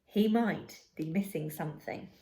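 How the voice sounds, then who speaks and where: A woman reading a children's story aloud as narrator, in an expressive and deliberate manner. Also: lowish voice, slight background noise. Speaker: storybook reader.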